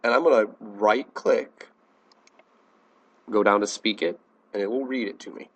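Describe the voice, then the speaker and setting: An American man teaching a software tutorial in a plain, step-by-step instructional manner. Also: lowish voice, unhurried, husky. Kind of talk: instructional screencast narration.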